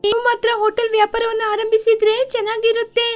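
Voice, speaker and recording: A woman voicing an eager, chirpy young bird daughter in an animated children's moral story. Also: very high voice, fast talker, soft background music. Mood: eager, persuasive.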